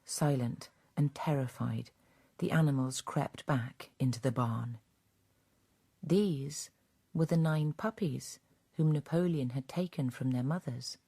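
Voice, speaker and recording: A man's voice: medium pitch, warm tone, slightly rough. A man giving dramatic scripted narration as the storyteller of a radio drama.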